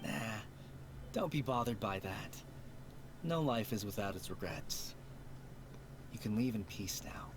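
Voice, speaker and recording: An actor playing a gentle, nostalgic grown man in a video game. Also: on the low side, lively intonation, a little slow, long pauses, background noise. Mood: soothing.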